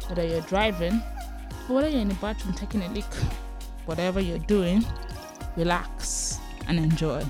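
A woman hosts a casual podcast chat. She talks in a loose, friendly way and laughs easily. Faint music sits under the opening. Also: on the low side. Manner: chatty, laughing, loose and informal. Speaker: podcast host.

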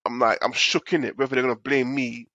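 A man's voice: mid-range voice, steady tone, fast talker, bright, forceful tone, dry recording, dull audio. Male co-host, nasal from a cold, rambling casual storyteller, dry sound. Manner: rambling storyteller, repeats himself. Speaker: podcast co-host. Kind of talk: casual chat.

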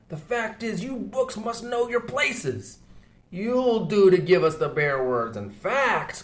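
Stubb, a man doing a jovial chattering sailor. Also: on the high side. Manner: brisk, loud, chattering, mock-pompous. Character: jovial, talkative male second mate. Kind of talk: audiobook reading.

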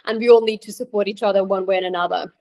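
A woman guest on a podcast who grew up in Switzerland, speaking at a middle pitch. She pauses often, filling gaps with ums and circling back to restart her sentences. Her voice comes through a remote call. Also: fairly even intonation, mellow. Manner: hesitant, many ums and restarts. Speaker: podcast guest, career coach. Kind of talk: conversational interview.

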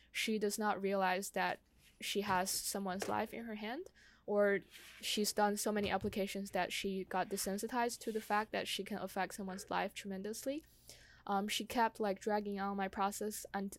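A young woman speaking casually and personally, pausing often and peppering her sentences with "like". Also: medium pitch. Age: young adult. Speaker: conversation host sharing own experience.

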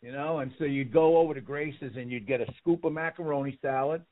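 A man's voice: medium pitch, fairly quick. A man telling rambling stories as a guest in a casual interview, often repeating words. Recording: tinny phone-like line.

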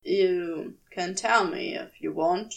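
Casting: woman as laconic male demon, drowsy and caring. Lowered, unhurried mutter, casual and clipped, for audiobook fiction. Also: gravelly, recorded from a distance. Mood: quiet concern.

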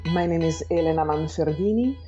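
Low, mellow Italian-accented woman. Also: brisk, highs cut off, faint music behind. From Italy. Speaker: interview guest, architect and educator. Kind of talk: reflective interview talk about own life.

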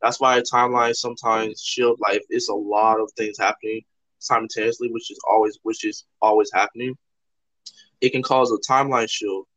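A man's voice: on the low side, flat delivery, moderate pace, fairly bright tone, dry recording. A man from Toronto hosting a podcast, explaining ideas in an earnest, rambling way with lots of "like" fillers. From Toronto, Canada. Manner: rambling, heavy use of "like" fillers. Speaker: podcast host. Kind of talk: casual conversational discussion. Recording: slightly dull lo-fi audio.

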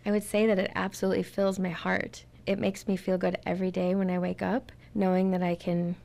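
A woman's voice: fairly low pitch, level tone, fairly quick, creaky, a little mellow. A middle-aged woman, a guest in an interview, talking earnestly about her community volunteer work and repeating words for emphasis. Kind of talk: casual interview talk.

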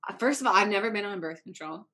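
A woman's voice: medium pitch, animated, fast, sharp tone. A woman in her thirties hosting a casual talk, playful and teasing as she asks frank questions. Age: 30s. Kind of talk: casual interview chat.

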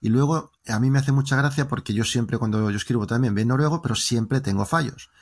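A man's voice: mid-range voice, fairly quick. Spanish man telling stories. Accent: Spanish from Spain. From Spain. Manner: lively, joking storyteller, talking in long winding turns. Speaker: interview guest. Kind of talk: casual interview conversation.

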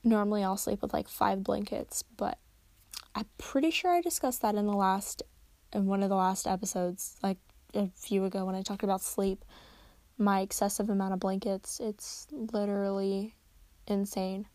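A tired young woman hosting a casual solo podcast at home, rambling and correcting herself, her voice slightly raspy. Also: medium pitch, fairly even intonation, normal speed, darkish tone, dry recording. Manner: rambling, chatty, self-correcting. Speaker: podcast host. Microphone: close desk microphone.

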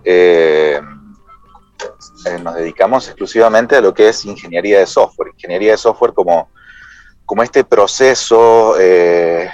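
A deep-voiced man with a Dominican accent, appearing as a guest on a radio show. He speaks in a measured way, pausing often and filling gaps with "eh". His voice comes through a slightly dull, muffled line that has no room echo. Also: darkish tone. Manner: measured, with many pauses and "eh" fillers. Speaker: software company executive, guest. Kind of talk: radio interview.

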